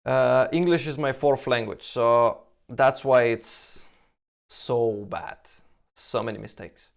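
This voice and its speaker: A man from Lithuania with a Russian-tinged accent, answering viewer questions in casual, halting English full of restarts and fillers. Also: medium pitch, vocal fry, smooth. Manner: halting, restarts sentences, frequent "like" and "you know". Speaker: hair transplant Q&A host.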